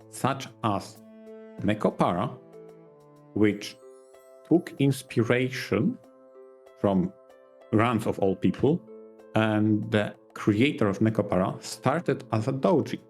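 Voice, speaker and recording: A man's voice: on the low side, darkish tone. A man co-hosting a podcast, explaining things casually in careful, roundabout phrases. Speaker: podcast co-host.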